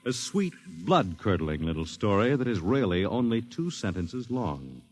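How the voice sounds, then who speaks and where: A man's voice: lowish voice, big pitch swings, a little slow. A man announcing and narrating a 1950s radio drama in a dramatic, portentous manner. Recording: vintage radio broadcast over loud music. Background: dramatic music.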